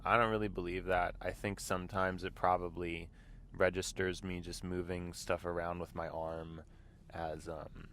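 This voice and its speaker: A man hosting his own show, talking casually while driving, with a congested voice from a mild illness. He rambles and keeps clearing his throat. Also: low-pitched, little pitch movement, normal speed, fairly bright tone. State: congested, slightly sick voice. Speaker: vlog host. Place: inside a car.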